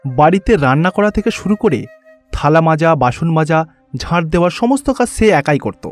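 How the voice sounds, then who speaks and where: Male storyteller, fairly high voice, scripted fairy-tale narration, soft music underneath. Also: big pitch swings, fairly quick.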